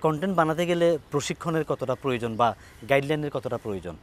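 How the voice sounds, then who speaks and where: A man's voice: on the high side, expressive, quick pace, a little hiss or hum. A man working as an interviewer, putting questions to his guests.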